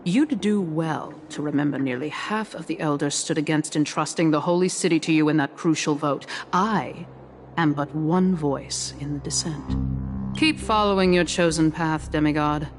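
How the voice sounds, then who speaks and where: A woman voicing a scheming council elder in a video game, smug and formal with mock courtesy and quiet menace. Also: deep voice, normal speed, faint music behind, close to an everyday voice. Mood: veiled threat. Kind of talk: game voice acting.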